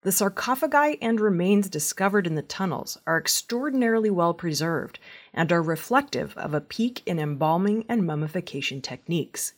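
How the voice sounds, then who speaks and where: A woman's voice: on the low side, lively intonation, normal speed. A woman reading scripted news as a newsreader. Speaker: news reader.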